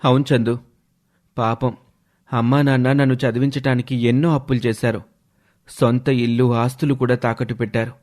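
Man acting worried young husband, mid-pitched. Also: little pitch movement, normal speed, long pauses, darkish tone, natural voice. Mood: worried, earnest. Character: debt-burdened young husband, earnest and worried. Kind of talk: animated moral story dialogue.

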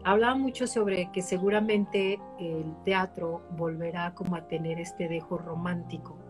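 A woman's voice: on the low side, a little slow, music in the background. Chatty woman hosting a live chat. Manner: chatty, apologetic, animated. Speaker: interviewer host. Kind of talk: casual live-stream conversation. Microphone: online live-stream.

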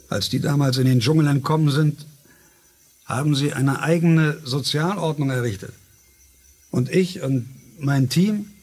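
An actor playing a driven, defensive scientist who leads a research team, speaking in an insistent radio-play manner. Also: male voice, fairly high pitch, slightly rough, heavily acted. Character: driven older male scientist, stubborn and defensive.

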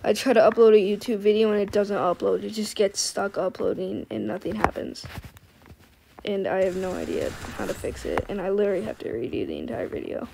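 Woman, quiet and scratchy, loose trailing-off game chatter; gravelly tone over a noisy recording. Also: mid-range voice, expressive, a little fast. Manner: quiet, soft-spoken, trailing off. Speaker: gaming video creator. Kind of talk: rambling casual commentary over gameplay.

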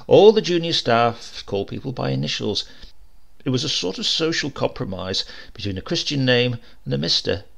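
A man's voice: medium pitch, expressive, moderate pace, creaky, a bit bright. A man reading a comic short story aloud as narrator, in a dry, wry storytelling manner. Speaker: audiobook reader.

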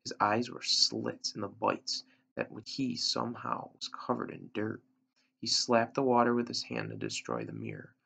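A man in his thirties with a gravelly, husky voice reads a story aloud, recorded on a webcam in a room at home. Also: on the low side, lively intonation, normal speed, bright, forceful tone. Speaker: teacher reading aloud. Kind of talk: storybook read-aloud narration. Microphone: computer webcam at arm's length. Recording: dull lo-fi webcam sound.

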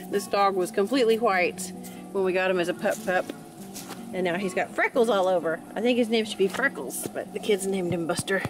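A woman's voice: medium pitch, moderate pace, rough recording. Cheerful homesteading woman, casual vlog voice, outdoor phone recording with guitar behind. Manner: chatty, cheerful, thinks aloud. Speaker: homesteader vlogger. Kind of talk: casual walking garden tour. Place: outdoors in a backyard garden. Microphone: phone camera held while walking. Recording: guitar music underneath.

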